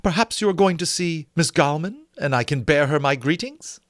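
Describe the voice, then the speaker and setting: A man's voice: fairly high pitch, lively intonation, moderate pace, a bit bright, dry recording, studio quality, pitched above the performer's own voice. A man voicing a spiteful young society lady with an affected, falsely sweet manner in a period novel reading. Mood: sweetly spiteful. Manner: affected, sugary, cutting.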